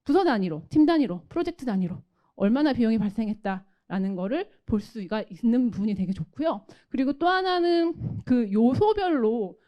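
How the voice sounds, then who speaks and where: A woman's voice: on the high side, animated, normal speed, lo-fi sound. A woman giving a technical product presentation in a polite, formal, explanatory manner. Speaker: company solutions presenter.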